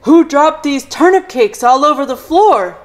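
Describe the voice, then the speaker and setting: A woman reading a storybook and voicing a loud, grumpy father who bellows and demands answers. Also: high-pitched, expressive, a little slow, soft background music, pitched above the performer's own voice. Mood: indignant. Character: loud, grumpy father bear-like Mister Chan.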